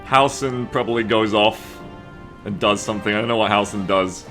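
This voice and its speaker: A man's voice: lowish voice, moderate pace, a bit bright. A man streaming games, chatting casually and jokingly, swearing freely. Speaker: game streamer. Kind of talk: casual stream chat about a game. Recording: music behind the voice. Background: music.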